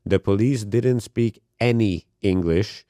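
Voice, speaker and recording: A comedian, telling lively anecdotes in casual conversation. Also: male voice, fairly low pitch, slow, dry recording, clean studio sound. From Hungary. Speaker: comedian guest.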